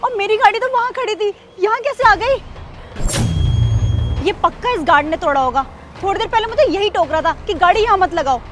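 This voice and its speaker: A woman's voice: very high-pitched, animated, fairly quick, noisy background. An actress playing an arrogant rich young woman who berates a guard in a short drama skit. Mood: furious. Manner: rude and shouting, later softly apologetic.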